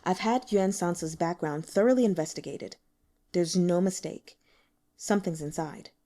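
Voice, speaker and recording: A woman voicing a shrewd, dry, sickly male investigator in an audiobook, explaining things in a measured, matter-of-fact way. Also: lowish voice, mellow, lightly acted. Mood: dry, unmoved. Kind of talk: audiobook character dialogue.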